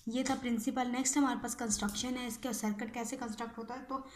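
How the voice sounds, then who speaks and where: A woman's voice: a little high, fairly even intonation, quick pace, a little mellow, slight background noise. A woman giving an informal explanatory lecture, repeating key phrases and often checking in with short tag words. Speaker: physiotherapy lecturer.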